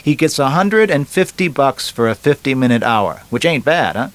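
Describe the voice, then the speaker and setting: A man's voice: mid-range voice, lively intonation, moderate pace, pitched above the performer's own voice, lightly acted. A man voicing a glib, joking, resentful young American guy in a chatty, punchy storybook manner. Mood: jokey, showy. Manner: chatty, wisecracking, punchy emphasis.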